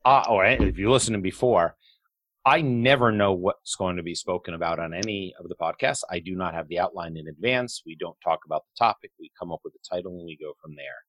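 A man hosting a podcast in a casual, conversational interview style, sometimes halting and repeating his words. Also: deep voice, a bit bright, dry recording, rough recording. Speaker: podcast host.

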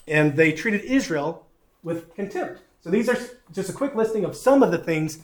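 A man's voice: high voice, lively intonation, normal speed. A man with a Southern accent teaching a sermon, folksy and self-deprecating, with frequent fillers. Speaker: pastor.

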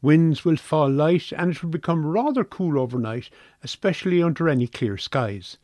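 A man's voice: fairly high pitch, expressive, moderate pace, darkish tone, no room sound, studio quality. A man with an Irish accent reading a scripted weather forecast for radio.

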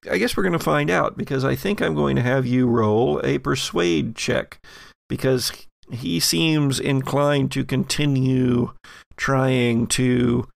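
Gravelly man narrating a horror game. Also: medium pitch, slow pace, no room sound, studio quality. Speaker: game master of an actual-play podcast.

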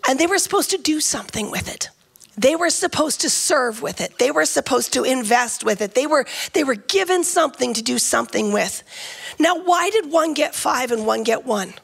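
A woman's voice: high-pitched, expressive, moderate pace, vocal fry, fairly bright tone, husky. A woman preaching a sermon, earnest and emphatic, often repeating phrases as she builds a point.

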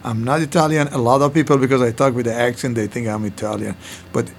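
Older Iranian man with a gravelly voice. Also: mid-range voice, fairly even intonation, quick pace, slight background noise. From Iran. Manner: chatty, blunt, broken English. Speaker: guest, former pizzeria owner. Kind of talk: casual interview chat.

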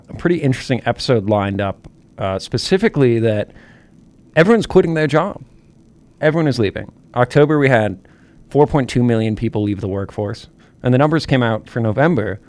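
A man's voice: mid-range voice, darkish tone. A man co-hosting a talk podcast with a mid-range voice. He sets up each topic earnestly, walking through figures between stumbles and fillers. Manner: earnest, leading the topic with stats. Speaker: podcast co-host. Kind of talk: casual political podcast chat.

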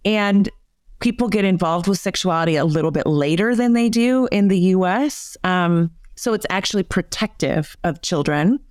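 A woman's voice: lowish voice, lively intonation, normal speed, darkish tone, dry recording, clean studio sound. A woman, a psychotherapist guest, explaining her ideas in a conversational interview with many fillers. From United States.

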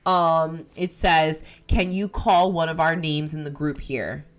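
Low-voiced Jersey woman retelling a ghost story. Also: measured pace. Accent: New Jersey. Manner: animated, profane, jumps into quoted voices. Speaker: podcast host. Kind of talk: casual chat retelling a ghost-hunting episode.

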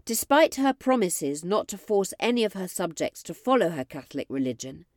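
A woman podcast host narrating scripted history for young listeners, with expressive storyteller phrasing. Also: lowish voice, moderate pace, no echo, clean studio sound.

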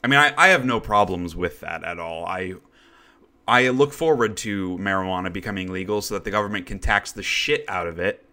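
Raspy congested guy hosting a podcast, lowish voice but kinda sharp and bright, chatty and jokey about himself. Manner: chatty, self-deprecating, quick asides. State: congested, needs to cough. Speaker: podcast host. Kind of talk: casual chat.

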